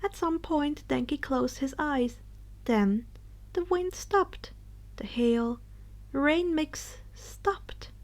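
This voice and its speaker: A woman narrating a story in a dramatic, expressive storybook style, with some phrases breathy and hushed. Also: high-pitched, drawn-out words. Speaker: fan fiction narrator.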